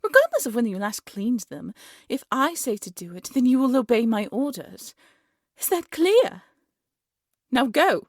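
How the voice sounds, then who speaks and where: A woman's voice: on the high side, no room sound, clean studio sound, voice pushed up. Woman acting a haughty, menacing evil queen. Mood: imperious anger. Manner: imperious, menacing, theatrical commands. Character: haughty, cruel evil queen of a fairy tale. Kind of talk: fairy-tale audio roleplay acting.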